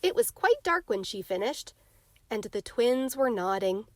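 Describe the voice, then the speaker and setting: A woman's voice: a little high, very animated, measured pace, dry recording. A woman narrating a storybook and hosting a read-along. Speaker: narrator and reading host.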